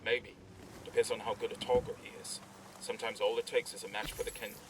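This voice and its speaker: An actor playing a measured, resolute special forces soldier in a video game. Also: male voice, lowish voice, flat delivery, close to an everyday voice. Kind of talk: video game cutscene acting. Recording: distant voice in loud ambient noise.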